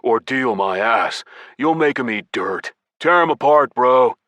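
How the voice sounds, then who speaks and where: A man voicing a gruff, loyal, laid-back gamer buddy who talks in a slow, slangy growl. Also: low-pitched, a bit bright, dry recording. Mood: fired up.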